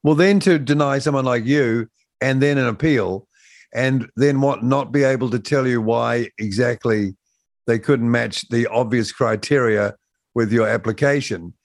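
A man's voice: medium pitch, measured pace, no echo. A man hosting a conversational radio interview.

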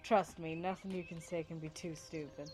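A woman's voice: deep voice, steady tone, normal speed, a little mellow, close to an everyday voice. A young woman playing a sarcastic, foul-mouthed, distrustful student in an amateur radio drama, with a blunt, casual manner. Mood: casual, friendly.

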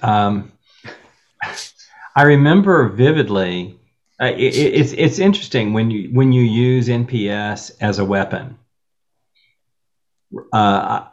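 A man on a discussion panel, telling animated stories with wry asides. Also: medium pitch. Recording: muffled lo-fi audio.

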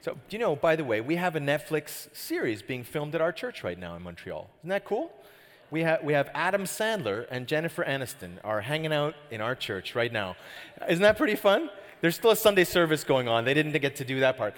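A man's voice: a little high, big pitch swings, fairly quick, fairly bright tone. A middle-aged Canadian man preaching a sermon as pastor, chatty and joking, often asking the crowd questions. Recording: hall reverb.

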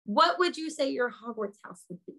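Bubbly high-voiced woman co-host. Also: animated, normal speed, sharp tone. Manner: bubbly, enthusiastic, laughs a lot. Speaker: podcast co-host. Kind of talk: casual podcast chat.

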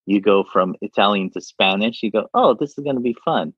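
A low-voiced man with a husky, breathy tone talks in a loose, rambling way, often repeating words. The sound is dry and a little dull. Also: fairly quick, a bit bright, no echo. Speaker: interview guest. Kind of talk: casual conversational interview.

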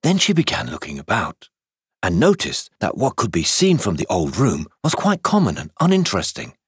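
Deep, very husky male storyteller. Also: no echo, studio quality. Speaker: audiobook reader. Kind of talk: scripted children's story narration.

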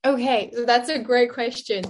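A woman's voice: a little high, steady tone, normal speed, slight background noise, rough recording. A woman in her twenties with a French accent, a nutritionist guest on a podcast, who uses "like" often and sometimes searches for her words. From France. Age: 20s. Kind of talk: podcast interview.

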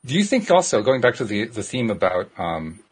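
A male host chatting on a talk show, his pitch moving a lot as he talks. His voice is a bit husky, and he restarts his sentences often. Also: a little fast. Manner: lively, laughing, stumbling restarts. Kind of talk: casual talk show chat.

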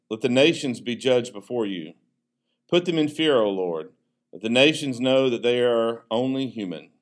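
American pastor, a man with measured delivery. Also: medium pitch. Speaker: associate pastor. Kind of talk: devotional Bible teaching with prayer and scripture reading.

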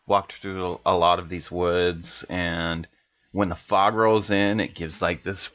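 A man's voice: low voice, little pitch movement, slow pace, a bit bright. A man playing a tabletop game, improvising casually in character, on a slightly dull recording.

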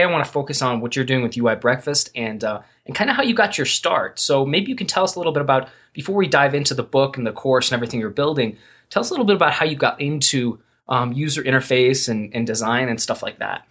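A man's voice: medium pitch, lively intonation, fast, fairly bright tone. A man hosting an interview over a call, talking loosely with plenty of "um"s and restarts as he introduces and questions his guest. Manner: loose and rambling, lots of "um" and self-corrections. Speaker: podcast host.

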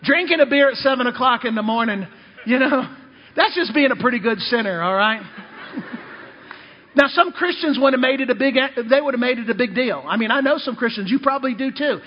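A man's voice: extremely high pitch, expressive, moderate pace, husky. A male preacher and missionary giving a sermon, telling humorous personal stories and calling out to the congregation. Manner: storytelling, calls out to the congregation. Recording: dull lo-fi recording in a reverberant hall.

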